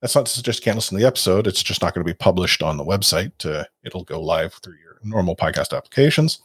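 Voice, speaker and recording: Rambling podcast host, low voice. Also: male voice, expressive, fast, husky, no echo. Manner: rambling, self-deprecating, many fillers and restarts. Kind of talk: casual podcast chat.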